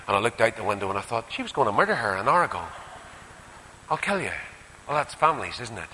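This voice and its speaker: A man with a Northern Irish accent preaching a sermon, putting rhetorical questions and moving between emphatic rises and quieter asides. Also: medium pitch, very animated, quick pace, a little hiss or hum. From Northern Ireland. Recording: echoey hall with long reverb.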